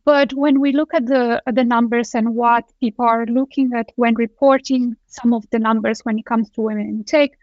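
A woman's voice: on the high side, fairly even intonation, moderate pace, few pauses, no room sound. Woman guest on a call. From Romania. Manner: thoughtful, with frequent restarts. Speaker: guest engineering director. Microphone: video call. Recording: dull, dry lo-fi call audio.

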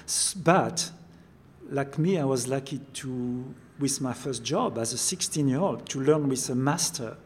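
A man's voice: medium pitch, a little hiss or hum. Older french guy, chef, thick french accent, stops and restarts a lot. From France. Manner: halting, repeats words while searching for phrasing. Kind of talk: interview conversation.

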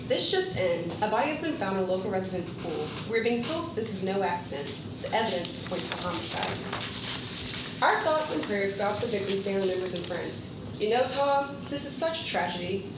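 A composed female news anchor, acted by a woman at a table read gives grave breaking news in a formal, solemn delivery. The sound is distant and very echoey, with loud noise all around. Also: medium pitch, expressive, fairly quick, lightly acted. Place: echoey room at a table read, far from mic.

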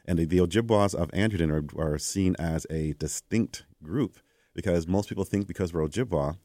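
Low-voiced man telling stories animatedly. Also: normal speed, dry recording, studio quality. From Canada. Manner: animated, acts out quoted exchanges. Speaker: guest. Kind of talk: conversational interview storytelling.

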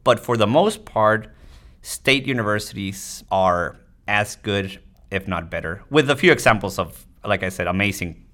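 A man with a fairly low voice talks as a guest in an interview. He explains things conversationally, as a physician from Mexico would to a colleague, dropping in "you know" often. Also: normal speed, a bit bright. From Tijuana, Mexico.

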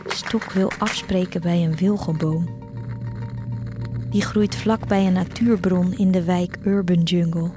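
A woman's voice: lowish voice, fairly even intonation, measured pace, close to an everyday voice. Woman playing yearning teenage girl narrator over music. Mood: reflective, intimate. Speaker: narrator and voice actor of an audio drama. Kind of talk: scripted first-person narration, audio drama.

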